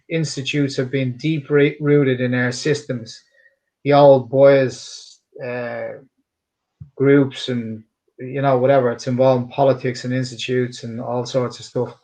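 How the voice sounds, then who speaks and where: A man's voice: medium pitch, low-quality recording. A middle-aged man with a somewhat polished Dublin Irish accent, a cutman answering interview questions in an earnest, emphatic way, rambling and restarting his sentences. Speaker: boxing and MMA cutman, interview guest.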